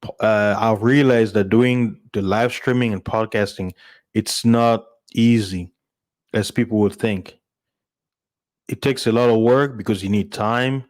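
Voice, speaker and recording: A man's voice: fairly low pitch, steady tone, unhurried, darkish tone. A man from Congo chatting casually to his listeners as a streamer and podcast host, in an informal way. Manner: informal, chuckles often.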